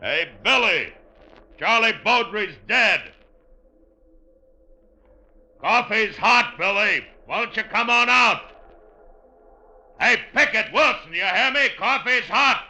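Bright, forceful Old West sheriff. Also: male voice, high voice, animated, slow, heavily acted, poor audio. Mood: calling out. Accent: American. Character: unhurried Old West sheriff coaxing trapped outlaws. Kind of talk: old-time radio western acting.

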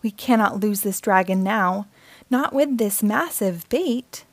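A woman's voice: medium pitch, takes it slowly, dry recording. A woman reading the part of an eager, determined child dragon hunter in an earnest storybook delivery.

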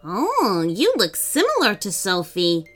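A woman voicing an excitable young male troll, mischievous and easily frightened, in a children's storybook. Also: mid-range voice, slow pace, soft background music, heavily acted. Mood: excited, friendly.

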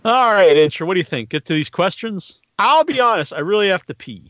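A middle-aged man hosts a casual podcast with a rambling, halting delivery and a crude, joking streak. The sound is very dry and a bit muffled, like a lo-fi online stream. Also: sits high, lively intonation, a bit bright, no room sound. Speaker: podcast host.

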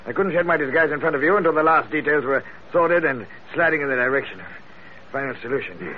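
A muffled, faintly noisy old radio recording of a man playing a wily gentleman investigator. He is pompous and deliberate, with florid phrasing and very animated pitch that rises and drops theatrically. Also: lightly acted. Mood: courtly, wry. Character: wily, pompous British investigator posing as a smooth blackmailer. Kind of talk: 1940s-50s radio drama acting.

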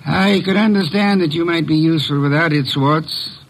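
A portly, imperious detective in a vintage radio drama. His voice is fairly high for a man, gravelly and rough-edged. He speaks with courtly, pompous precision and dry sarcasm. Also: moderate pace, poor audio. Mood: accusing. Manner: courtly, pompous, dryly sardonic, articulate. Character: shrewd, imperious, portly private detective, middle-aged man. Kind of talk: old-time radio detective drama acting.

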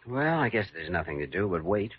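An actor playing an earnest, persuasive young American hospital doctor with a wry, colloquial way of talking in a classic radio drama. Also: male voice, low voice, sing-song, fairly quick, noisy background. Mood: concerned. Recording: dull old radio recording.

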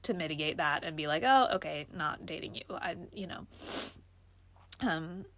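A woman's voice: low voice, expressive, normal speed, a bit bright, slight background noise. A young woman talking casually to her viewers in a vlog, stuffed up from allergies, reflective and full of ums and likes. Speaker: vlogger. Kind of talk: casual personal vlog talk.

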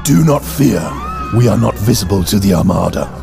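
An actor playing a pompous alien android who poses as a benevolent deity, speaking formally and grandly in an audio drama. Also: male voice, lowish voice, lively intonation, moderate pace, gravelly, shouting, over music, a crowd in the background. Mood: commanding.

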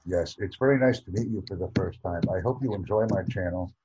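Low, dark, husky American man. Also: muffled recording. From United States. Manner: formal, deliberate, over-enunciated phrasing. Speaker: YouTube channel host. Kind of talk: slow, careful video-call chat.

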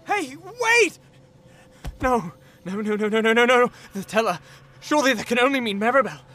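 A man's voice: very high-pitched, very animated, moderate pace, bright, forceful tone, a little hiss or hum, full character voice. A man playing a flustered, bossy young warlock minion with a theatrical, fussy way of talking, in a comic fantasy audio drama. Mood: alarmed, scolding.

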